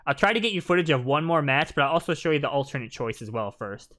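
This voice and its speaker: A man's voice: on the high side, expressive, fast talker, sharp tone. A man giving casual, jokey live commentary as the host of a gaming video.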